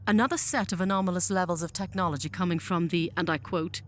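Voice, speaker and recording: A woman's voice: fairly low pitch, fast, faint music behind, natural voice. A woman playing a cold, impatient military general in an audio drama, clipped, disdainful and commanding. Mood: contemptuous.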